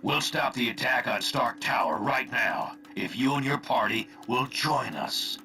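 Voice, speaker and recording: An actor playing a veteran spymaster turned villain, colloquial and coolly persuasive, with menace, in a game cutscene. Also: male voice, mid-range voice, expressive, measured pace, pushed and bright. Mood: cold threat. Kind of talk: dramatic video game cutscene acting.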